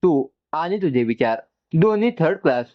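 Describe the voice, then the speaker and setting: Guy voicing fed up defiant young woman in an audio story, firm comebacks, very animated, bit muffled. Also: a little high, moderate pace. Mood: furious. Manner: curt, firm retorts. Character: defiant, exasperated young woman, wounded by her sister.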